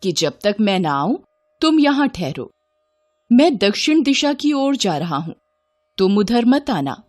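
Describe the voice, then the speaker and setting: A woman's voice: medium pitch, big pitch swings, measured pace, darkish tone, soft background music. A woman storyteller voicing a stern, commanding male god in a devotional tale, with formal, authoritative delivery. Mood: firm.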